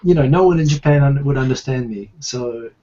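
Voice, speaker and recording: A man with a softened New Zealand accent hosting a casual live video chat, talking in halting restarts and repeated words. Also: mid-range voice, muffled recording. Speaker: live show host. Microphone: video call.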